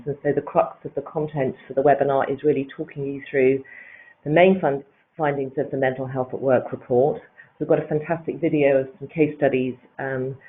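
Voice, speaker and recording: A man moderating a webinar, upbeat and welcoming, a little rambling as he introduces the speakers. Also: medium pitch. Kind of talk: hosting a webinar. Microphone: video call. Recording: tinny webinar audio.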